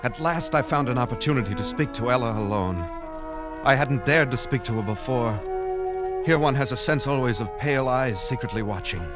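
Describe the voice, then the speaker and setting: A man's voice: medium pitch, brisk, close to an everyday voice. An actor playing an earnest young man who narrates his journal and pleads with the woman he loves in an old-time radio drama. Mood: narrating. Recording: old radio recording with clear music.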